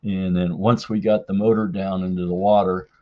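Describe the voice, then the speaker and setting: A man telling a personal story casually in an unhurried, folksy way, as in a listener's voicemail. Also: deep voice, flat delivery. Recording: dull, lo-fi voicemail-like sound.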